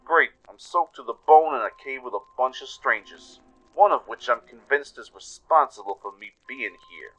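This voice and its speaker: A man's voice: medium pitch, animated, moderate pace, fairly bright tone, slightly rough, soft background music, pitched above the performer's own voice, rough recording. A man voicing a suspicious, grumpy male zebra who grumbles sarcastically in a radio play. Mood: irritated.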